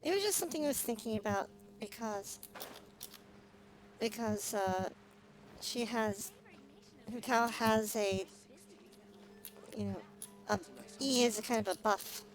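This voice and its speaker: A woman livestreaming a game, chatting casually and thinking aloud in a halting, musing way. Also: fairly high pitch, poor audio. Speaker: livestreamer playing a video game. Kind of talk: casual gameplay stream chat. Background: music.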